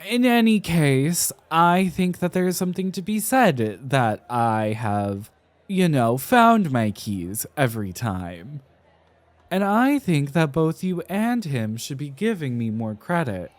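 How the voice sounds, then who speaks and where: A man playing a flustered, dramatic, tipsy young scholar in an ASMR roleplay, enunciating carefully with halting, theatrical flourishes and an affectionately defensive temper. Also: a little high, big pitch swings, no echo. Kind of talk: ASMR roleplay, acted one-sided conversation.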